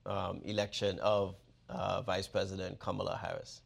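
A man's voice: deep voice, little pitch movement, normal speed, gravelly. A man hosting an interview podcast, asking questions with many fillers and restarts. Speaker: university president, host.

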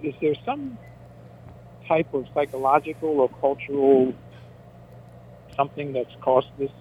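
A man's voice: medium pitch, expressive, measured pace, slight background noise. Hesitant man asking a question, dull sound. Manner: hesitant, searching for words. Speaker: audience questioner.

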